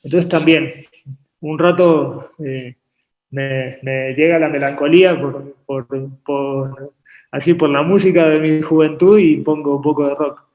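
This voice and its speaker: A man from Uruguay raised in Argentina, talking about his work as a painter on a video call, often pausing and repeating words as he thinks. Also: on the high side, steady tone, highs cut off. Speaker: painter and artist guest. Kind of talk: relaxed interview conversation. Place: home painting studio. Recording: echoey room on a video call.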